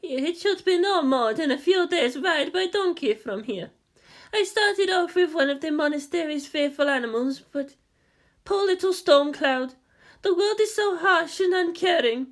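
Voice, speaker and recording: Storybook role: anxious, guilt-laden nun, very high and mournful, halting and formal , performed by a man. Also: animated. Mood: mournful, contrite. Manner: slow, formal, apologetic, stammering. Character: timid, mournful, guilt-ridden Buddhist nun.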